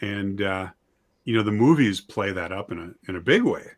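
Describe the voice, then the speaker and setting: A man talking in a casual discussion, conversational and halting, telling things as anecdotes. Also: fairly low pitch, animated.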